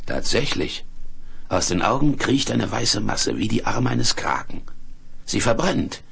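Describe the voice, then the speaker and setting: A man acting a big, gruff, protective hermit with a gravelly, husky voice in a 1970s German radio play, speaking in an unhurried, commanding way. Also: on the low side, very animated, a bit bright, exaggerated voice. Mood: thoughtful. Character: big red-bearded hermit, gruff, protective secret father.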